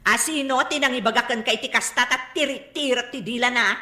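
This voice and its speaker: A woman's voice: fairly high pitch, fairly quick, sharp tone, echoey room. An actress playing a quarrelsome, nagging mother with a hot temper and an Ilocano accent in a radio comedy drama. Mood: suspicious, displeased. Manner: confrontational, nagging, demanding.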